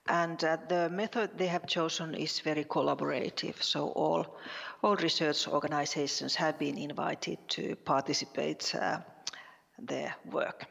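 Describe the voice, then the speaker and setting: A woman from Finland, giving a conference presentation in a halting way with many fillers and restarts. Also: low voice, vocal fry, husky, in a large hall. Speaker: conference speaker.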